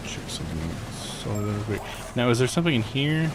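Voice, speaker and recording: A man's voice: mid-range voice, expressive, brisk, very noisy. A man live streaming a visual novel, rambling and thinking aloud in casual commentary. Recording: game music behind voice. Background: video game music.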